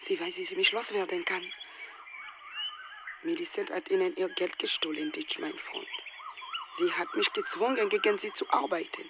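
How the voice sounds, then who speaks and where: An actress playing a desperate young Nigerian widow who pleads dramatically and haltingly on the phone in a radio play. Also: female voice, lowish voice, muffled recording, noisy background, lightly acted. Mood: conspiratorial.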